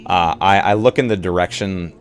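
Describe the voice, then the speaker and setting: A man taking part as a player in an improvised roleplay, speaking casually in a hesitant, halting way. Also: low voice. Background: music.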